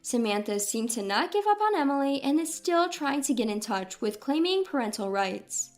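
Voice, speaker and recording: A woman's voice: high-pitched, very animated, moderate pace, soft background music. A woman reading a scripted first-person story in a dramatic read-aloud delivery. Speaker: story narrator.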